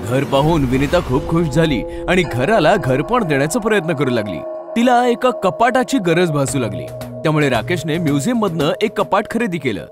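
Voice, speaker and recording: A male storyteller delivers a scripted horror tale dramatically. His voice sits high and moves widely in pitch, with music clearly playing beneath. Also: sing-song, normal speed. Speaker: narrator. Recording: clear music under narration.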